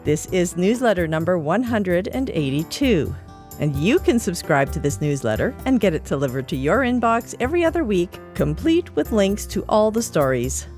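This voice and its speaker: A woman's voice: fairly low pitch, sing-song, normal speed, darkish tone, faint music behind. A woman reading a newsletter expressively for a podcast.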